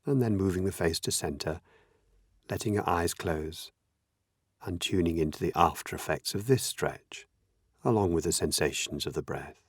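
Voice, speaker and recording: Low-voiced man gently guiding a meditation. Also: breathy edge, dry recording, clean studio sound. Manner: gentle, measured, unhurried with long pauses. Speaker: meditation teacher. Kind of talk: guided meditation, slow spoken instructions.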